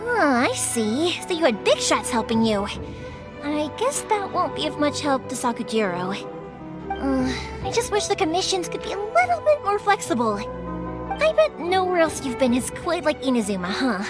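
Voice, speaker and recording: A woman's voice: sits high, sing-song, moderate pace. A woman voicing a cheerful young fireworks maker in a video game, upbeat, chatty and caring. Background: game background music.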